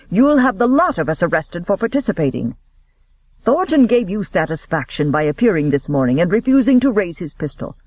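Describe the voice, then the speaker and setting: A man playing an angry gentleman who bites out clipped, reproachful words. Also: high voice, dry recording, muffled recording. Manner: clipped, biting. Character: angry stiff-backed gentleman.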